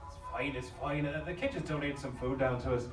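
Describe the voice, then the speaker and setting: A woman's voice: extremely low pitch, a little fast. A woman playing a hospitable, folksy stowaway in a live audio drama. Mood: welcoming. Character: welcoming, warm-hearted stowaway host. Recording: echoey live stage, distant, loud music.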